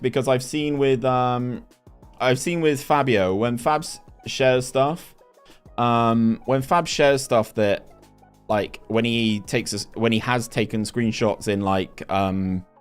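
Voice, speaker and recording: A man's voice: mid-range voice, unhurried, clean tone, faint music behind. A young man, chatting casually on a stream and reading chat aloud with lots of restarts. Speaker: gaming streamer.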